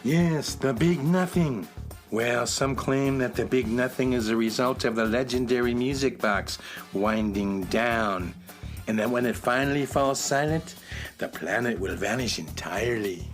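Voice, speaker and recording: A man playing a knowing, mysterious music box shopkeeper in a children's radio play, telling legends in a measured, portentous way. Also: mid-range voice, lightly acted.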